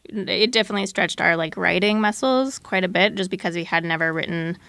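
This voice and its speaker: A woman's voice: lowish voice, a little fast, a bit bright, dry recording. A woman speaking as a podcast producer in a casual interview, tentative and hedging, sprinkling in "like" and "you know".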